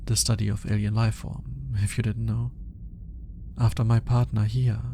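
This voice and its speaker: A man's voice: lowish voice, level tone, creaky, noisy background. A man playing an awkward, analytical alien scientist in an intimate ASMR roleplay, speaking in formal, hesitant musings. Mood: reluctant, wistful. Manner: hesitant, formal, articulate musing with sighs and pauses. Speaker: voice actor.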